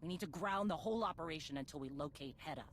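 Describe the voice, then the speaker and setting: An actress playing a blunt, commanding female bounty hunter in a video game, impatient and vengeful, with a husky voice. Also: low voice, fast, slight background noise, natural voice. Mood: furious. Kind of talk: video game cutscene acting.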